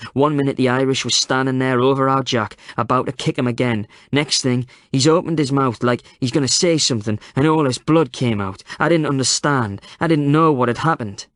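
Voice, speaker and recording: A man's voice: fairly low pitch, dry recording, clean studio sound, lightly acted. A man voicing a frail, tearful old man. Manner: sobbing confession, pleading.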